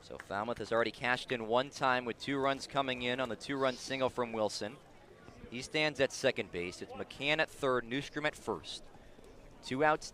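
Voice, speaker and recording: Bright-voiced male baseball play-by-play announcer. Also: mid-range voice. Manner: descriptive, rising excitedly on big plays. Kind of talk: live radio sports commentary.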